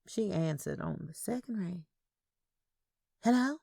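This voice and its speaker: A woman's voice: sits low, animated, moderate pace, gravelly, dark tone, dry recording, clean studio sound. A woman narrating a first-person audio story in a dramatic reading style.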